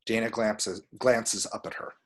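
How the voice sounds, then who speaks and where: A man reading screen directions aloud as expressive scripted narration. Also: on the low side, unhurried. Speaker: reader of action descriptions.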